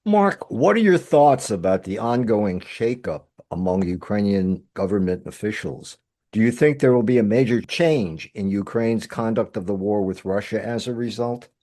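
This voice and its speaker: A man's voice: on the low side, lively intonation, normal speed, warm tone. A man hosting an interview, introducing his guest and asking questions in a formal manner. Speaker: host.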